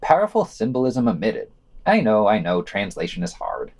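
A man's voice: on the low side, huge pitch swings, normal speed, a little mellow. A man reading scripted news summaries and quotations for a podcast, adding casual, sardonic asides.

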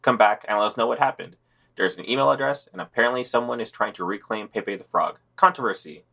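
A man's voice: fairly low pitch, quick pace, gravelly, pushed and bright. A man co-hosting a podcast, reading news summaries and quotes in a laid-back, sardonic way. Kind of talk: casual news roundup with read-out quotes.

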